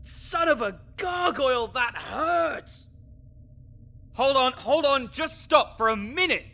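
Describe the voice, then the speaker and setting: A man's voice: extremely high pitch, lively intonation, takes it slowly, gravelly, pushed and bright, heavily acted. Man acting a grouchy, sarcastic necromancer. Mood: indignant, outraged. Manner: sarcastic, indignant, wordy. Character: grouchy male necromancer researcher, exasperated, fussy and sarcastic. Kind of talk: scripted audio roleplay addressed to the listener.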